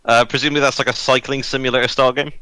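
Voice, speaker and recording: A man's voice: medium pitch, flat delivery, racing, pushed and bright, smooth, no room sound. A man co-hosting a podcast with casual comedic banter, sweary and mock-dramatic in his delivery. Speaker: podcast co-host.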